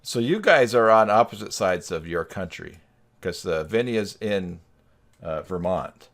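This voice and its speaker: Male stream host, slightly low voice, casual and teasing, conversational delivery. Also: lively intonation, a little slow, fairly bright tone. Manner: joking, teasing. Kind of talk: casual chat. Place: indoors on a video call live stream.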